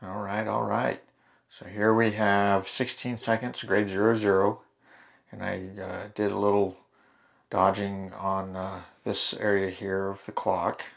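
A man walks through making a print in his home darkroom, talking slowly and thinking out loud as he works. His voice sits low with a gravelly edge, and he often stops to restart a phrase. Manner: relaxed, thinking aloud with fillers and restarts. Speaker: photography channel host. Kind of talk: hands-on darkroom tutorial. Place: home darkroom in a laundry room.

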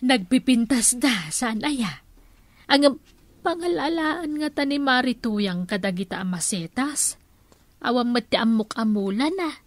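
A woman's voice: a little high, expressive, a little slow, no room sound. A woman playing a chatty village neighbour in an Ilocano comedy radio drama.

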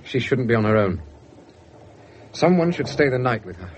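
An actor with an English accent playing an earnest, slightly awkward young police detective in a radio drama. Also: male voice, medium pitch, sing-song, moderate pace, a little hiss or hum, lightly acted. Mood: earnest narrating. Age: young adult. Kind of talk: 1970s British radio drama acting and narration.

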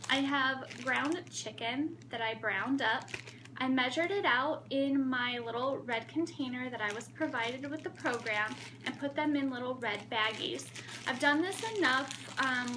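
A woman's voice: high voice, background noise. A woman in her thirties, a flight attendant, chatting casually through a how-to vlog with lots of ums, recorded on a camera in a small room at home. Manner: chatty, upbeat, with frequent ums.

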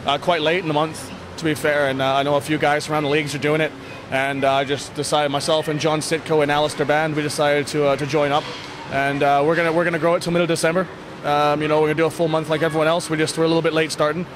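A man in his thirties speaks quickly in a fairly high voice, with clear venue noise behind him. He is a coach talking candidly after a game. His delivery is plain and halting, doubling back on words. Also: flat delivery. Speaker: ice hockey bench coach giving a post-game interview. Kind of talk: post-game interview, casual and candid. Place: indoor sports hall, blue block walls. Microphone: handheld interview microphone. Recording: noisy venue with background ambience.

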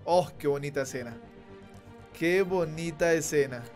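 A man's voice: a little high, a little slow, a little mellow. A young Colombian man with a Colombian accent, chatting and joking with viewers as he plays a video game at home. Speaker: streamer. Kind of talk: casual gameplay commentary. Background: game music.

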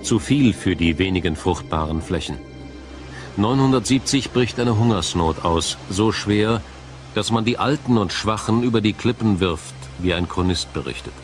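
A man reading a scripted, dramatic documentary narration in a measured, solemn storytelling manner. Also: on the low side, soft background music.

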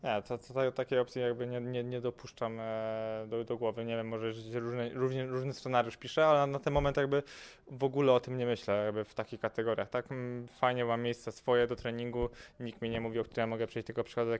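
Młody bokser, płaski głos, dużo wahań. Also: male voice, mid-range voice, little pitch movement, a little fast, clean tone. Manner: hesitant, many fillers and repeated words. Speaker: boxer. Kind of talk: casual interview answers. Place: event press wall, indoors. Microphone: handheld mic held by interviewer.

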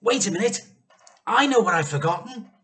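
A man's voice: sits high, animated, moderate pace, a bit bright, voice pushed up. A man reading a storybook, voicing an eager, earnest and practical young boy.

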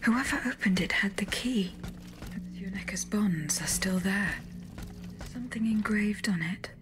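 A woman's voice: mid-range voice, measured pace, close to an everyday voice. A woman voicing a mechanical female automaton who murmurs her observations to herself in a whispery voice. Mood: puzzled. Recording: game audio with clear noise.

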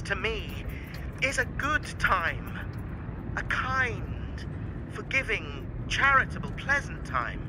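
Earnest, high-voiced cheerful nephew. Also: male voice. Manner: earnest, pleading. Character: cheerful, earnest nephew who loves Christmas. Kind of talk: modern audio drama acting. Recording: noisy street and car ambience behind.

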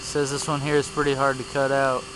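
Flat-voiced man narrating outdoors. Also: medium pitch, fairly quick. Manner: plain, matter-of-fact play-by-play. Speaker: camera operator narrating a bee removal. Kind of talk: casual running commentary. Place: outdoors under trees at a bee removal. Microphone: handheld camera mic. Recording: camcorder audio with clear hum and background noise.

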